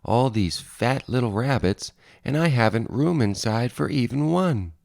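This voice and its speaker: A man's voice: medium pitch, lively intonation, unhurried, creaky, a little mellow, no echo, clean studio sound, voice pushed up. A man voicing a grumbling, greedy, scrawny lion in a picture-book read-aloud. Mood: contented, wistful. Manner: grumbling, impatient.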